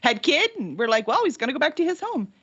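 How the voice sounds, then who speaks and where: A woman hosting an interview, reacting in a quick, upbeat way. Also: sits high, big pitch swings, a bit bright, dry recording, muffled recording. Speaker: interview host. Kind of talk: casual interview chat.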